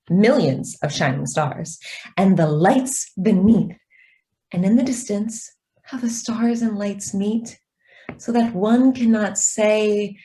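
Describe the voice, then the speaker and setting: A woman plays a gentle, mysterious girl in a radio drama. She speaks very slowly in a warm, dark tone, serene and wistful. Her delivery is soft and quietly persuasive. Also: mid-range voice. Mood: wistful wonder. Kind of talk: stage-style radio drama acting.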